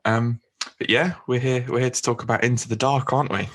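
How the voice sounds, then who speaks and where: A man co-hosting a podcast, chatting in a relaxed way with small restarts. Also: mid-range voice, brisk. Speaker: podcast co-host. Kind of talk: casual podcast chat.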